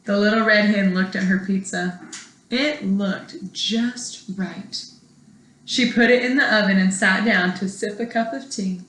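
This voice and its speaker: A woman's voice: mid-range voice, measured pace. A woman schoolteacher reading a children's storybook aloud expressively, as if to her young students. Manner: expressive, asks young listeners questions. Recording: echoey room.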